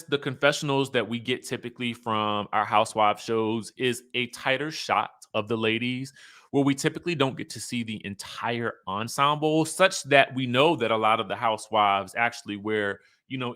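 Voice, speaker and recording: A man's voice: mid-range voice, animated, normal speed, sharp tone. A man hosting a reality TV commentary show, speaking in a conversational, emphatic, opinionated way, sometimes reading out cast bios.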